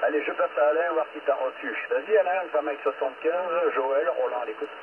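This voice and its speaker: CB operator, male, casual chat, thin radio-band sound with clear static. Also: on the high side, fairly quick, narrow, tinny audio. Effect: heard over two-way radio.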